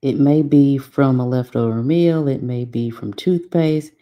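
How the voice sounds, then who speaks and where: A woman leading a guided meditation from a script, giving soothing, unhurried instructions with long pauses. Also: a bass voice, dull audio.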